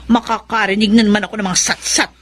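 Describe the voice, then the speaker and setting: A woman's voice: medium pitch, flat delivery, fast, a bit bright, natural voice. A woman with a Filipino accent playing a brash, irritable, defiant wife in a radio drama, whiny and snappy. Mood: defiant, angry.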